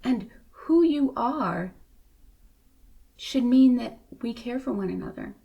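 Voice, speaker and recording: A woman reading to children as a storyteller, with expressive narration. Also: fairly high pitch, slow pace, gravelly, slightly rough, muffled recording. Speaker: storybook reader.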